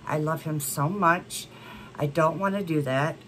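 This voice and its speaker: A woman's voice: low voice, measured pace, a bit bright, a little hiss or hum. A woman in her thirties chatting to camera as a beauty vlogger, congested from a cold, rambling with many fillers. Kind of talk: casual chat to camera. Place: home room with a plant wall and neon signs.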